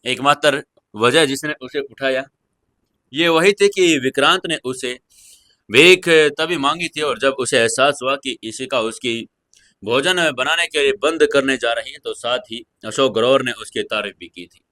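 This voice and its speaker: A man narrates a serialized romantic drama in a dramatized storytelling style. His voice sits at a middle pitch, and the sound is very dry, with little room in it. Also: unhurried, pushed and bright, dry recording.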